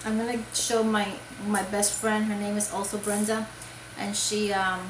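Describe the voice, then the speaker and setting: A woman's voice: medium pitch, flat delivery, normal speed, echoey room, background noise. A woman from Puerto Rico chatting casually about crafts for her video, rambling with many fillers and restarts. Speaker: crochet vlogger. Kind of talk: casual show-and-tell chat.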